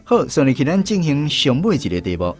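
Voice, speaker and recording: A man's voice: on the high side, very animated, fairly quick, soft background music. A man with a Taiwanese accent hosting a language lesson on the radio. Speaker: host of a Taiwanese-language quiz segment.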